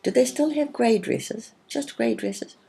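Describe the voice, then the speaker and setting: A woman's voice: a little high, very animated, unhurried, warm tone, voice pushed up, heavily acted. Woman as an eager, curious storybook girl. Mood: breathless, curious. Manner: breathy, questioning. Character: eager curious female young listener, Arwen. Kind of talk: storybook character acting.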